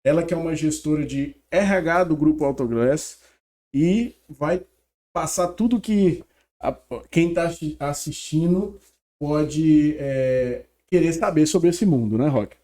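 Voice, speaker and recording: A man co-hosting a podcast, introducing a guest in a halting, hesitant way. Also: on the high side, dark tone. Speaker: podcast co-host.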